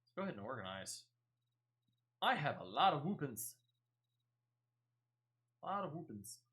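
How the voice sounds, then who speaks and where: A man's voice: mid-range voice, sing-song, normal speed, a bit bright. A man giving casual live gameplay commentary as a streamer, chatty and emphatic, often repeating phrases. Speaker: game streamer.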